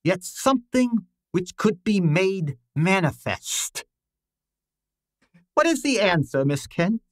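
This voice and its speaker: A man playing a commanding, fussy detective genius in an old-time radio drama, speaking formally and deliberately with pompous authority. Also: high-pitched, very animated, no echo, studio quality, exaggerated voice. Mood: imperious.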